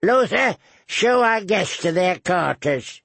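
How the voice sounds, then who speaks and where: A man voicing a sly, crude, cackling old male lord with a gravelly voice who tags phrases with "eh?". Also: high-pitched, expressive, slow pace, fairly bright tone, no room sound, pitched above the performer's own voice, exaggerated voice. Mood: bossy. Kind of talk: audiobook character voice.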